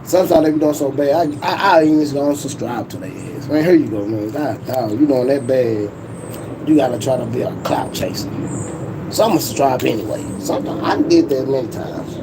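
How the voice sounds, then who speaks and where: A man's voice: medium pitch, a little fast, background noise. A man, hosting a casual livestream in a boastful, profane, laughing way. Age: 40s. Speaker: YouTube livestream host.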